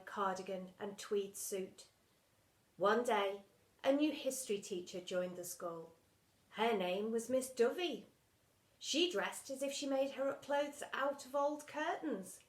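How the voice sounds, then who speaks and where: A woman reading a children's story aloud in an animated, theatrical storytelling style. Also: on the high side, roomy sound. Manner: expressive, theatrical delivery with acted sound words.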